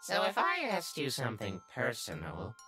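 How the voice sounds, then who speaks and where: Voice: medium pitch, wildly swooping pitch, normal speed, bright, forceful tone, faint music behind, heavily acted. A performer voicing a sly, obsessively curious little talking flower in a comic dub, brooding and easily exasperated. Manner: brooding, scheming, bursting into exasperated outbursts. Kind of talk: comic dub character narration and dialogue.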